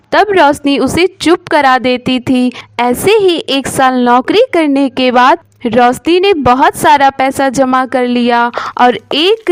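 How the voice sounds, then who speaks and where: High-voiced, slow woman storyteller. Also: no echo. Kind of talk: scripted moral-story narration.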